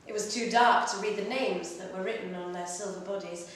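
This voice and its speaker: A woman reads a first-person short story aloud with expressive, measured phrasing. Her medium-pitched voice comes from some distance in a very echoey room, with faint noise behind it. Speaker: story reader performing at a literary festival. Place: live reading in a library. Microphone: distant microphone.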